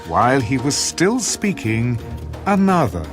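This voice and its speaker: A man's voice: mid-range voice, wildly swooping pitch, unhurried, music in the background. A man giving solemn, storytelling scripted narration for a dramatised scripture reading.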